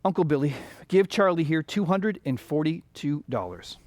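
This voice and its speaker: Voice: medium pitch, animated, normal speed, darkish tone, dry recording, natural voice. An actor playing an earnest, good-hearted young small-town banker in a radio drama, prone to flustered stammering. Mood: reassuring.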